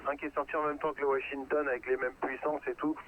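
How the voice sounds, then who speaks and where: A man's voice: medium pitch, flat delivery, fast talker. Frenchman on a tinny, noisy radio-like line. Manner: informal, colloquial. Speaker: radio hobbyist taking part in a group conversation. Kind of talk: casual technical chat.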